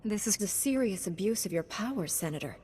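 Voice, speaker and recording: An actress playing a composed, principled young warrior heroine in a video game, direct and self-assured. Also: female voice, mid-range voice, animated, fairly quick, warm tone, breathy edge. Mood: firm. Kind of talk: video game cutscene acting. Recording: long hall reverb, music behind.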